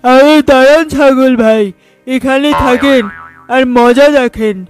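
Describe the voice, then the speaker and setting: A man's voice: very high-pitched, takes it slowly, over music. A man voicing a tiny, clever, politely helpful trickster mouse in a children's cartoon. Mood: gleefully sly. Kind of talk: children's cartoon fable acting.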